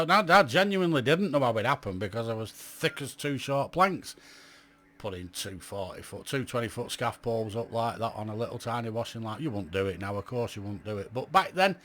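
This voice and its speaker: A man's voice: mid-range voice, fairly bright tone. A British man in his fifties recalling his years in radio in a chatty, rambling way, full of fillers and self-mocking asides. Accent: British English. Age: 50s. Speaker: radio hobby vlogger. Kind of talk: reminiscing monologue.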